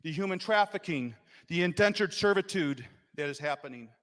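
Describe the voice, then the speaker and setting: A man's voice: fairly high pitch, expressive, normal speed. Impassioned man speaking in an echoing chamber. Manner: impassioned, rising to loud emphasis. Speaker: state legislator. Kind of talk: floor speech explaining a vote. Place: legislative chamber. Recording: long reverb in a legislative chamber.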